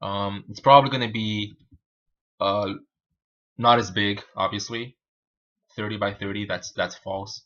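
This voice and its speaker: Guy w spanish as first language doing a live coding stream, lowish bright voice, kinda halting, talks himself thru it. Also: fairly even intonation, muffled recording. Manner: halting, informal, self-narrating. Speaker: programming livestream host. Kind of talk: live coding tutorial, thinking aloud.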